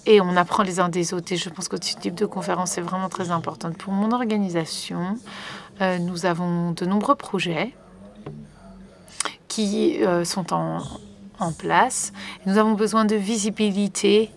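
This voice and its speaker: A woman's voice: on the low side, fairly even intonation, measured pace. A woman working as a conference interpreter, relaying a panel live with frequent fillers and restarts. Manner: hesitant, many fillers and restarts.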